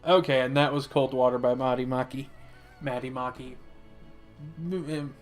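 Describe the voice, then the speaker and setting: A man reading fan fiction as narrator, in an expressive storytelling style. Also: mid-range voice, normal speed, soft background music.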